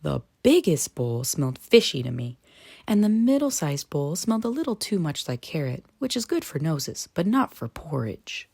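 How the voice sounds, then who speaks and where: A naive, wide-eyed little snowman, as a woman reader puts him on for a children's storybook read-aloud. He is cheerful and chatty, with big swoops in pitch and a husky edge. The sound is close and dry. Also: big pitch swings, moderate pace, darkish tone, no echo, exaggerated voice. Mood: wondering, storytelling.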